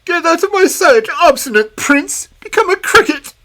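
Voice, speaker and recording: A woman voicing a wicked, imperious old fairy queen in a shrill, commanding voice. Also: a little slow. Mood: furious. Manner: shrieking, commanding.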